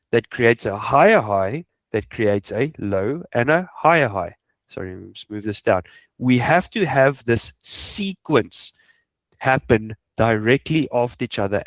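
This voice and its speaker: Male coach, Afrikaans-speaking South African accent. Informal, emphatic instruction with rhetorical questions, average pitch, very little room sound. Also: no room sound. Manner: emphatic, repeats points, asks rhetorical questions. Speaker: trading coach. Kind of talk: informal instructional screen walkthrough.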